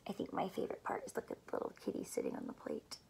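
A young woman teacher doing a read-aloud math lesson for children, animated and full of questions, speaking clearly for kids. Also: rapid-fire, heavy background noise. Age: young adult. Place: small furnished room at home, indoors.

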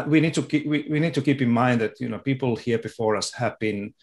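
A middle-aged man from Finland with a Finnish accent, speaking as a guest on a video call, thoughtful and halting with frequent restarts. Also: medium pitch, gravelly. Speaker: guest education researcher. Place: home room with sofa and guitar. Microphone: video call webcam.

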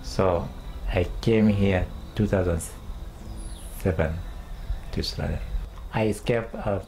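A man, speaking in a documentary interview, halting as he searches for words in English. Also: deep voice, gravelly, mellow, faint music behind, rough recording. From Sudan.

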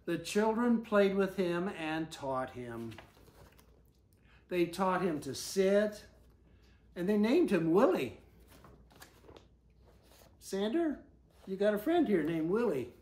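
An older man in about his seventies reads a storybook aloud to a small child, pausing for gentle asides and questions. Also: sits high, big pitch swings, echoey room. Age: older, about 70s. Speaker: story reader. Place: living room armchair, indoors. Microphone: camera a few feet away.

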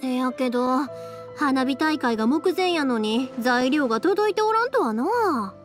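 A young Kansai fireworks maker in an anime game, cheerful and caring toward those around her. Her voice is high and very lively in pitch. She speaks briskly and with friendly expression. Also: over music. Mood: warm, chatty. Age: young adult. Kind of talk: Japanese anime game dubbing.

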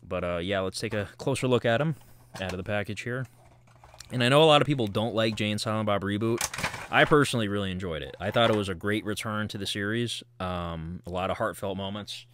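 A man's voice: on the low side, a little fast, a bit bright, a little hiss or hum. A man hosting a collectible unboxing video, chatting casually and rambling with lots of ums and small chuckles.

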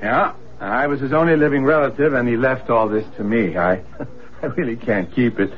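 A man's voice: mid-range voice, normal speed, natural voice. Polite man playing a seller, dull radio sound. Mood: obliging. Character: polite, plain-spoken man selling household goods. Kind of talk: radio drama acting.

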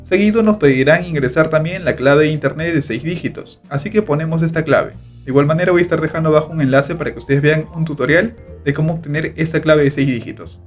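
A man's voice: a little high, quick pace, hardly any pauses, faint music behind. Upbeat man guiding a tutorial. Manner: steady instructional narration, repeats click steps. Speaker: tutorial host. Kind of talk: screen-recorded step-by-step tutorial. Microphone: voice-over over screen recording.

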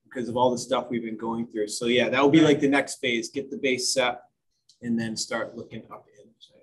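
A man's voice: mid-range voice, fairly even intonation, brisk, poor audio. Man speaking casually at a school meeting. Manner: conversational, loose with fillers. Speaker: school administrator. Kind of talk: meeting remarks.

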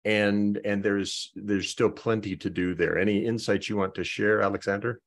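A man hosting an online project meeting, talking through updates in a halting way and often repeating words as he thinks. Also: fairly low pitch, fairly even intonation. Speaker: meeting host.

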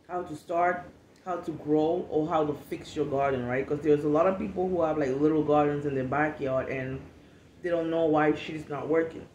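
A woman's voice: sits low, darkish tone, reverberant, muffled recording, slight background noise. A woman in her late twenties from Cameroon, English not her first language, talking candidly and casually on her podcast, swearing freely, seated at home and filmed on a phone. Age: 20s. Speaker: podcast host. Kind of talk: casual monologue. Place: home room, seated at a table.

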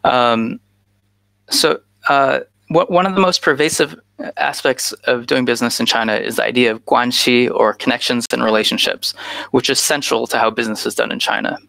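A man's voice: mid-range voice, moderate pace, gravelly, pushed and bright, no room sound. A man in his thirties from the United States gives a conference talk over a video call on a headset, with frequent "uh" fillers. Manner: frequent "uh" fillers, earnest and informal. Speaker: guest speaker.

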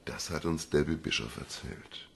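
A man playing a self-satisfied detective with a gravelly voice, laying out a case in a German radio drama. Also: extremely low pitch, moderate pace. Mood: matter-of-fact. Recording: clear ambient noise behind.

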